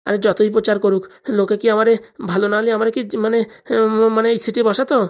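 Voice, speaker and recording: Casting: man to play exasperated, henpecked husband, comic cartoon, quick plaintive protests. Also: high-pitched, level tone, lightly acted. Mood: protesting. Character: henpecked, exasperated husband, a small-time village politician.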